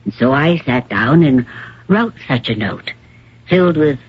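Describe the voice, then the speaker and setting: A woman playing a proud, worldly older stage actress with a low, husky voice and poised, theatrical delivery, in old-time radio drama. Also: animated, measured pace, heavily acted. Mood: reflective, confessing. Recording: dull, muffled vintage radio recording.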